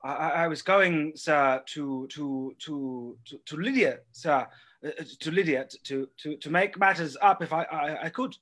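An actor playing a nervous young gentleman who stammers in a stage play. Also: a little high, lively intonation, moderate pace, breathy edge, full character voice. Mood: flustered.

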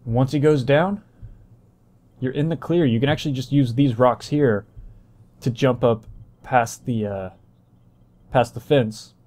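A man's voice: mid-range voice, unhurried, long pauses, darkish tone. A man doing casual, explanatory gameplay walkthrough commentary as the host, talking informally to viewers.

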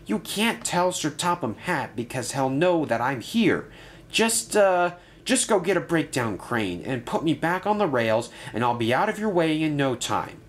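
A man's voice: fairly high pitch, sing-song, unhurried, voice pushed up. A man voicing a nervous male runaway diesel engine that stammers and pleads.

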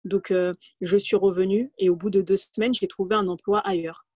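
A woman's voice: mid-range voice, steady tone, moderate pace, darkish tone, dry recording. Hesitant woman on a dull, lo-fi call. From France, Paris region. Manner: hesitant, many fillers and restarts. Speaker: guest. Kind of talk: casual interview, telling her career story. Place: home room, video call. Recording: dull, lo-fi video call audio.

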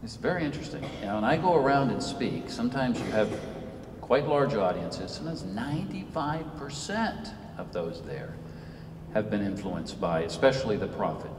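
A man in his fifties from Senegal, giving a measured, earnest public lecture from a podium in a church. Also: on the low side, expressive. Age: 50s. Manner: measured, earnest, rises and falls for emphasis. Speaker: guest speaker, author. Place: large church sanctuary. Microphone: podium mic, recorded from far off. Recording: echoey church, distant mic, faint hiss.

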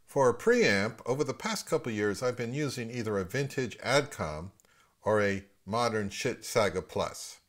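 A man's voice: medium pitch, animated, moderate pace, a bit bright. A man in his fifties with an American accent, talking casually as the host of a vlog. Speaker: host of a hi-fi audio channel.

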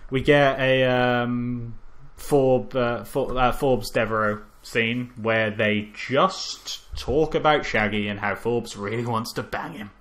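A man's voice: medium pitch, fairly even intonation, slow pace, fairly bright tone. A man co-hosting a casual film review podcast, rambling and profane, often stumbling and restarting his sentences. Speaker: podcast co-host.

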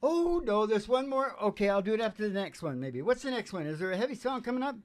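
A man's voice: high voice, lively intonation, quick pace. An older man hosts from a small stage, chatting into a microphone between songs. He rambles casually and philosophizes in an irreverent, profane way. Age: older, about 60. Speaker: singer and show host. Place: small dark curtained stage, indoors. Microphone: stage microphone on a stand.

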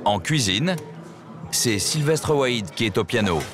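Lively male documentary narrator over music. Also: medium pitch, a little slow. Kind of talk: scripted narration.

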